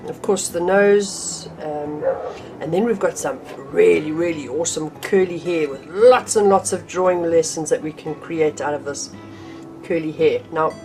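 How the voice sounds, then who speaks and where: A woman's voice: deep voice, moderate pace, over music, poor audio. Chatty woman art teacher with a cold. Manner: chatty, friendly, with frequent ums and restarts. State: slightly nasal from a cold. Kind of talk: casual art tutorial. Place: home art room.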